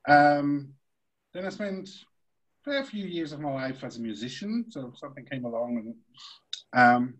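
A man from Switzerland, a podcast guest, telling the story of his career in a relaxed, rambling way. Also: medium pitch, unhurried, pauses often, muffled recording. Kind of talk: conversational storytelling.

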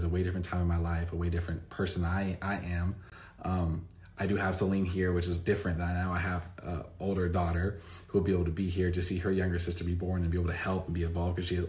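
A man in his thirties with a low voice chats straight into a phone held close. He rambles, restarts sentences and leans on fillers. The sound is from a bright room at home. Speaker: vlogger answering viewer questions. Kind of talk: casual to-camera chat.

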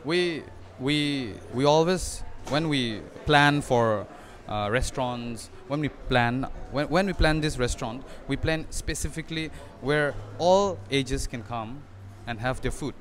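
A young man, a restaurant owner, answering questions in an on-camera news interview, earnest and halting with frequent restarts. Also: medium pitch, a bit bright. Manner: hesitant, restarts often, earnest. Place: indoor restaurant dining area. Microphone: handheld reporter mic.

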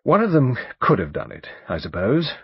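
Guy doing a bluff bossy english lawyer for an audiobook, breezy and emphatic, husky, pitch jumping about. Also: very animated, moderate pace, no echo, dull audio. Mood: dismissive. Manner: breezy, emphatic, chatty with hesitations. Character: bluff, overbearing, urbane English male barrister, hearty and dismissive. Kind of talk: audiobook character dialogue.

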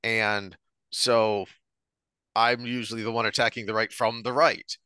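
An American man co-hosting a podcast, discussing ideas earnestly in a deliberate, emphatic way. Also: on the low side, level tone, pushed and bright, smooth, dry recording. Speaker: podcast co-host. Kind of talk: earnest discussion of politics and philosophy.

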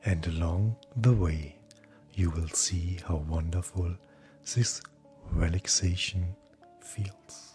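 A man speaking as a hypnotherapist, guiding sleep hypnosis in a calm, gentle, breathy voice that often nears a whisper. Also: sits low, takes it slowly. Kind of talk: guided hypnosis, soothing scripted narration. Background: soft background music.

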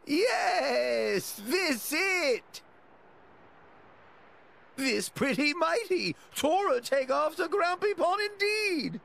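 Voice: high-pitched, big pitch swings, drawn-out words, vocal fry, a bit bright, full character voice. An actor playing an older furry male creature merchant in a video game, blustery and friendly, speaking in broken grammar. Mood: hesitant. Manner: broken grammar, drops articles, exclaims. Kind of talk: video game character acting.

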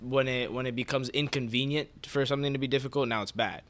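A man's voice: medium pitch, steady tone, fast, a bit bright. A young man hosting a casual podcast discussion, speaking in a conversational way. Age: young adult. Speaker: podcast host. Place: small living room, indoors. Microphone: podcast microphone.

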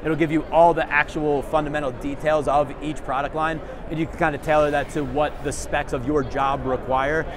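A man's voice: on the high side, level tone, moderate pace, few pauses. A man in his thirties, a manufacturer's rep, walking a visitor through building products at a trade show, upbeat and salesy. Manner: upbeat, salesy explaining with hand gestures. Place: large indoor trade show hall. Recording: busy convention hall with crowd noise. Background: crowd chatter.

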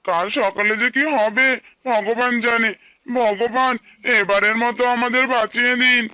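A man's voice: very high voice, fairly even intonation, measured pace, pushed and bright, smooth, no echo, heavily acted. A man voicing an earnest, plaintive, humble farmer and worried husband in a cartoon. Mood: worried, pleading. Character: anxious humble young farmer and husband, pleading. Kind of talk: Bengali cartoon dialogue dubbing.

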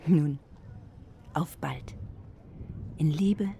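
Burdened middle-aged cook in radio drama: hushed, mellow, creaky, introspective. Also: female voice, sits low, extremely slow, slight background noise, exaggerated voice. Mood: wistful, tender. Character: reflective, burdened middle-aged woman cook writing a letter. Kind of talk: intimate letter monologue in a German radio play.

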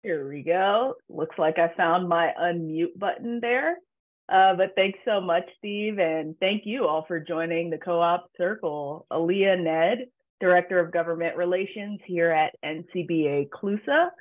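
A woman, a government relations director, introducing herself on a video call in a friendly, brisk way. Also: on the low side. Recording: tinny phone-like line.

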